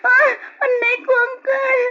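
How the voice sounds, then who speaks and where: A woman playing a timid, tearful servant girl, high and pleading. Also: little pitch movement, slow pace, sharp tone, heavily acted. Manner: crying and pleading. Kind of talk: radio drama acting. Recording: dull lo-fi audio with faint noise.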